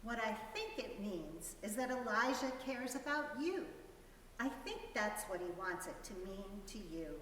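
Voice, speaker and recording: A woman reading the part of a gentle, grateful mother in a storybook. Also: fairly high pitch, lively intonation, measured pace, slight background noise. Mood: gentle, reassuring. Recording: very echoey hall, distant mic.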